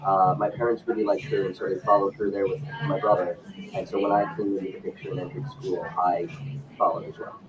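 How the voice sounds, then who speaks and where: A young man from Michigan, a podcast guest at home, talking in a measured, thoughtful way with frequent pauses. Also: low voice, level tone, crowd noise, poor audio. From Lansing, Michigan, US. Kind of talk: casual interview talk.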